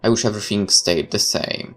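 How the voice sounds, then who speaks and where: Low, gravelly man reading a game aloud. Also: a little fast, a bit bright. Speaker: let's player. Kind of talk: reading a visual novel aloud with casual commentary.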